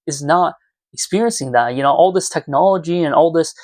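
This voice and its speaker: A man's voice: a little high, a little fast, a little mellow, no room sound. A twenty-year-old man talking earnestly and reflectively to viewers in a casual, confessional vlog recorded in his room at home. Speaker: YouTuber. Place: small room at home, indoors.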